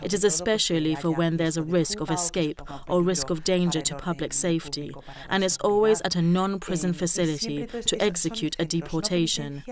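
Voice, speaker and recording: A woman explaining things in a formal, measured way, as a legal expert in a documentary. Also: fairly low pitch. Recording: clear crowd noise behind.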